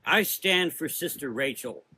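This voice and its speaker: A man's voice: a little high, animated, measured pace, sharp tone, a little hiss or hum, heavily acted. A man voicing a loyal, blunt young woman warrior who talks earnestly and informally. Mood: defiant.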